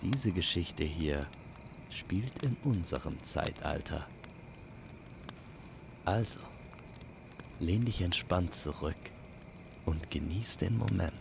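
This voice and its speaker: Very deep, slow, creaky male storyteller. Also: noisy background. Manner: calm, unhurried, soothing bedtime-story delivery. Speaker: storyteller and host. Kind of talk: slow scripted fantasy audio storytelling.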